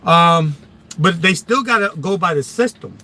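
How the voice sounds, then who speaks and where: A man's voice: high-pitched, expressive, measured pace, sharp tone. A man with a Philadelphia accent on a live stream, talking casually and emphatically, repeating himself and restarting sentences. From Philadelphia, USA. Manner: emphatic and repetitive, many restarts.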